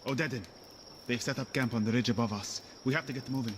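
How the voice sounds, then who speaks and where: A man plays a protective, wry shepherd guiding someone through danger in a fantasy game. He sounds wary and urgent, keeping his instructions low-key, at a middling pitch. Crickets and birds sound clearly around him. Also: fast, background noise, natural voice. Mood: hushed, urgent. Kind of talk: video game voice acting.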